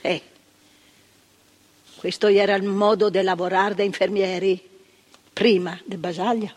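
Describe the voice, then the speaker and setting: A woman's voice: medium pitch, steady tone, measured pace, natural voice. An actress playing a former woman asylum nurse, telling her memories in a plainspoken stage monologue that repeats phrases for emphasis. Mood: sober. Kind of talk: theatrical monologue of remembered testimony. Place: theatre stage. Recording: long stage reverb, radio broadcast.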